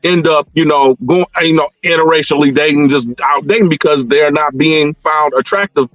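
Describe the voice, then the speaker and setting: A man's voice: a little high, moderate pace, fairly bright tone, dull audio. A middle-aged American man talking casually as a call-in guest, rambling and repeating himself with frequent filler phrases. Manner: rambling, repeats words, frequent "you know". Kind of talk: casual discussion of personal experience. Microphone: internet call connection. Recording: band-limited online call audio.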